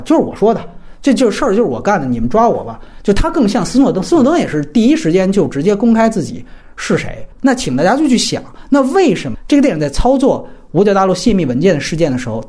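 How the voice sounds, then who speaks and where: A man's voice: sits high, a little mellow, breathy edge. A man hosting a film discussion, giving his opinions analytically and emphatically, explaining point by point. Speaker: film podcast host.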